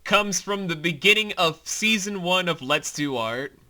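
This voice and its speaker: Male creator-host, higher-pitched and sharply bright, rambling eagerly through informal commentary. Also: animated, unhurried. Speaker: show creator co-hosting a commentary. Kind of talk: casual behind-the-scenes commentary chat.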